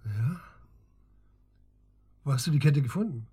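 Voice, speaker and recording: An actor playing a sober middle-aged police investigator in a naturalistic radio drama, matter-of-fact and probing with terse questions. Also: male voice, mid-range voice, lively intonation, measured pace, mellow, slightly rough, soft background music. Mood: probing, surprised. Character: calm, sober male police investigator and family friend.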